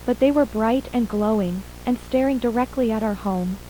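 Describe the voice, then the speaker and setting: A woman's voice: fairly high pitch, normal speed, warm tone, lightly acted, rough recording. A woman playing a shaken mother and attack survivor, plainly recounting events in an acted horror audio drama interview. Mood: subdued, uneasy. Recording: faint hum and hiss.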